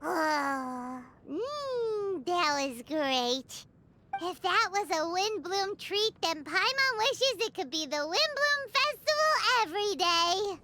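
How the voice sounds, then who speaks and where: Casting: a childlike flying fairy companion in a game, bright, squeaky, excitable and perky. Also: female voice, slow pace, slight background noise, heavily acted. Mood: delighted. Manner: perky, refers to herself in third person. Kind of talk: video game voice acting.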